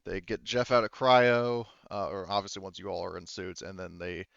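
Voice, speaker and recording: A man narrating a tabletop roleplaying game as game master, halting and thinking aloud as he goes, through dull lo-fi audio. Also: deep voice, fairly even intonation, gravelly, fairly bright tone, smooth, no room sound.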